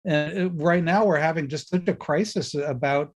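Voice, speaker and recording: Husky, halting co-host. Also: mid-range voice. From born in Pittsburgh. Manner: halting, searching for words, many restarts. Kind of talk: casual interview.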